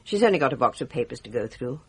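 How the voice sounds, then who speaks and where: An actress playing an older British village nurse in a radio drama, chatty, bustling and familiar. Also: female voice, extremely low pitch, very animated, fast talker, a little mellow, breathy edge, heavily acted. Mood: helpful, brisk. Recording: radio drama with faint background noise.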